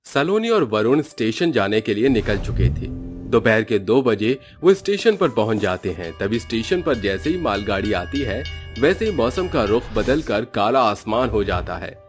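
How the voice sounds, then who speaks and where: A man's voice: on the low side, sing-song, moderate pace, soft background music. A man narrating a horror cartoon story in a dramatic, scripted way.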